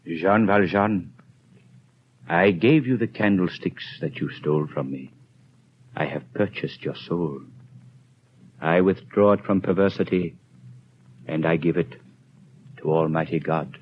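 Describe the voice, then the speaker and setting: Grave old bishop, very deep voice, distant and muffled, vintage radio play. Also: male voice. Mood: solemn. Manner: slow, grave pronouncement. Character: older male bishop, solemn and merciful. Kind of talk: 1930s radio drama acting. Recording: dull lo-fi 1930s radio broadcast, faint hiss.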